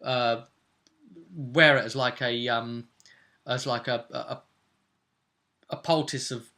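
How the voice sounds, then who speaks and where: Bright-voiced man running a tabletop game. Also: mid-range voice, steady tone, slow. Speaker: game master. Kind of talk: casual recap of a tabletop role-play session.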